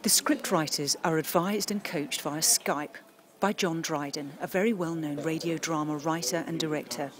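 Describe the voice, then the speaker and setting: A woman with a British accent narrating a documentary about radio drama training in a measured, informative way. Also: low voice, fairly even intonation, crowd noise.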